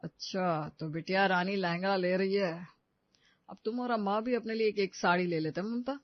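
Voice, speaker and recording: High-voiced man acting a grumbling family father. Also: steady tone, moderate pace, no room sound, lo-fi sound, close to an everyday voice. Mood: genial. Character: grumbling but indulgent middle-aged husband and father.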